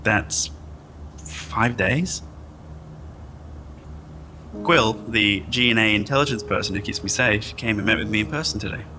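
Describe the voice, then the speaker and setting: A man playing a weary, anxious space traveler, recounting his days in a halting, understated audio drama journal. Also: fairly low pitch, sharp tone, a little hiss or hum. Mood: subdued, uneasy. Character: weary, anxious man awakened from centuries of cryosleep.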